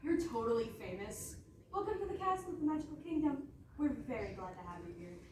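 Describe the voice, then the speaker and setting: A woman playing a brisk, guarded snow queen who is nervous under a cool front, in a comic stage play. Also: a little high, big pitch swings. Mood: chirpy, officious. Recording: very echoey hall, far mic, noisy.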